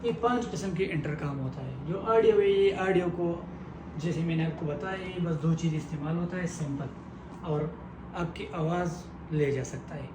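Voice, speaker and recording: A man giving a step-by-step technical tutorial, explaining simply and repetitively in halting phrases. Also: fairly high pitch. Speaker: technical instructor. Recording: echoey room with clear background noise.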